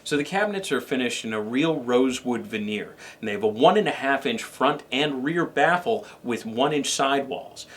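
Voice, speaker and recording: A man co-hosting a product review, delivering scripted information in a measured, somewhat formal way. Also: medium pitch, animated. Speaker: show co-host and reviewer.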